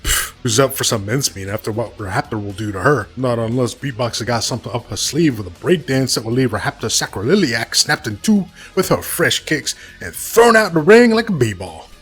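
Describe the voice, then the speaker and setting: A man playing a slangy, joking fight commentator who banters his way through an animated match broadcast. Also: medium pitch, moderate pace, slightly rough, faint music behind. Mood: bantering. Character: hip-talking, joking male fight commentator. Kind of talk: sports commentary in animated show.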